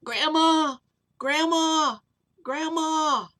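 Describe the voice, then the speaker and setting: Woman acting out excited, high-pitched children calling out. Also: drawn-out words, no echo, studio quality, full character voice. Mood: calling, searching. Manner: calling out loudly, exclaiming. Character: excited, worried young children calling and chattering. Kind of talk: children's storytelling character voice.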